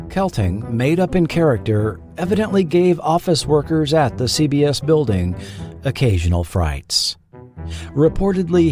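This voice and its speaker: A man narrates a scripted documentary with a dramatic storyteller's delivery. His pitch is lively and varied, sitting in a middle male register. Music plays quietly beneath his voice. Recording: music bed under the voice. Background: background music.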